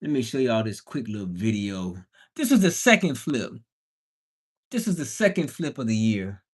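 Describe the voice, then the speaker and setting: Animated, slangy man hosting a livestream. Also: mid-range voice, normal speed, breathy edge. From Bahamian background. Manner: slangy, playful, emphatic exclamations. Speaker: livestream host and house flipper. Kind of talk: casual livestream chat.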